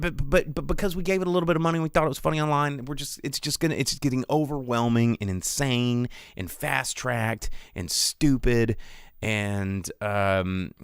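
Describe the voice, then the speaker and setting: A man's voice: a little high, clean tone, no room sound. A man hosting a podcast, talking casually in a rambling, emphatic, exasperated rant. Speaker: podcast host.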